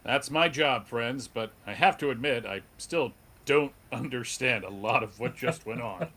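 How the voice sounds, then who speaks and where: A man's voice: medium pitch, expressive, measured pace, bright, forceful tone, husky, full character voice. A man playing an earnest, noble superhero in a melodramatic comic book table read. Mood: polite, bemused.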